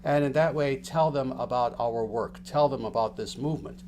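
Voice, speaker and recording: A man, a spiritual teacher, giving unhurried livestream announcements to his followers in a gentle, rambling way full of fillers and restarts. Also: medium pitch, a little hiss or hum.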